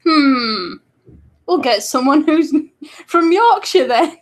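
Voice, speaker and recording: A woman's voice: sits high, animated, slow. A woman from northern England chatting casually as co-host, teasing and laughing often. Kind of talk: casual chat.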